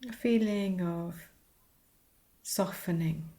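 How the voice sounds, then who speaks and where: A woman teaching a guided meditation, speaking soothingly in short, unhurried phrases with pauses. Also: on the low side, mellow.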